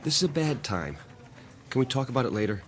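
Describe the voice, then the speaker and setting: An actor playing a harried, defensive magazine graphics artist in a radio drama, flustered and grumbling. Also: male voice, mid-range voice, very animated, fast, very noisy, natural voice. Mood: weary, muted. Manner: flustered, grumbling, excuse-making.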